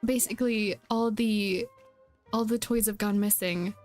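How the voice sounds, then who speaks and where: A woman's voice: mid-range voice, fairly even intonation, measured pace, a little mellow. A woman streaming a game, chatting casually in a hesitant, rambling way and often correcting herself. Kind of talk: casual chat while playing a game. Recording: music behind the voice. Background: background music.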